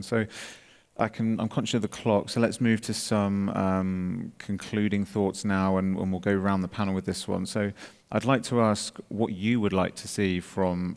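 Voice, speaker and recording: A man's voice: low voice, creaky, a little mellow. A man moderating a conference panel, polite and steering questions with some fillers, in a reverberant hall.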